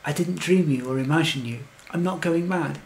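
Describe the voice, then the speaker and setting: A man's voice: on the high side, normal speed, gravelly, poor audio. A man playing a lovelorn, anxious, wry fellow who tells his own comic story in earnest, wordy scripted lines. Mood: hopeful, yearning. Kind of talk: scripted comic first-person narration and verse.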